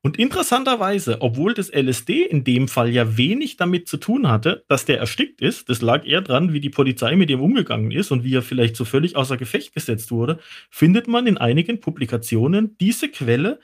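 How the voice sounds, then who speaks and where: A man's voice: a little high, very animated, brisk, slightly rough. A man telling stories casually on a podcast, explaining things in an amused, conversational way. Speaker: toxicologist guest expert.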